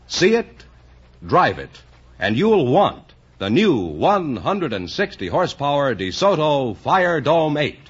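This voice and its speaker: A man's voice: a little high, big pitch swings, slow pace, slight background noise. An American man announcing in the polished selling style of 1950s radio. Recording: dull vintage radio recording.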